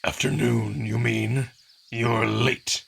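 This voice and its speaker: A man plays an imperious wolf mentor in a visual novel. He is coldly controlled and commanding, with open contempt in every measured phrase. The voice is gravelly and tense. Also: medium pitch, steady tone, a bit bright, exaggerated voice. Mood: cold reproach.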